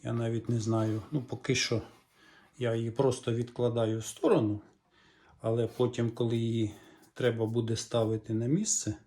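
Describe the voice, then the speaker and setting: A middle-aged Ukrainian man in his home workshop, explaining a repair in a calm, musing way with small hesitations, recorded on the camera mic. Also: medium pitch, moderate pace. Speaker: repair vlogger. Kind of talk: hands-on repair walkthrough.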